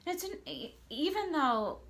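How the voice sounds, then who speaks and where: A woman's voice: sits high, very animated, normal speed, fairly bright tone. Earnest woman coach in conversation. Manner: earnest and enthusiastic, stumbles on starts. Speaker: life coach guest. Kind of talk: conversational interview.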